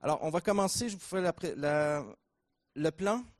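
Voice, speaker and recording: A middle-aged man with a Québécois accent lectures at a training conference, easygoing and playful between points. His voice sits somewhat high for a man, and he talks loosely, with filler sounds and restarted phrases. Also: moderate pace. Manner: relaxed, joking, with fillers and restarts.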